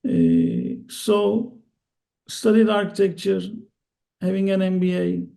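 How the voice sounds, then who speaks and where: Cast: middle-aged Turkish-accented man, podcast guest, deliberate halting delivery, high gravelly mellow voice. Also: wildly swooping pitch. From Turkey. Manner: slow, careful, halting with many uhs. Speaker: guest, real estate investor. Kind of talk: interview answers.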